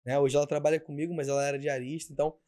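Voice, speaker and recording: Young carioca man, guest role, easygoing slang, somewhat high voice. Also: rapid-fire. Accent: Carioca Portuguese. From Rio de Janeiro, Brazil. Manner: relaxed, slangy, candid. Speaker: podcast guest, bakery owner. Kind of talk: casual interview storytelling.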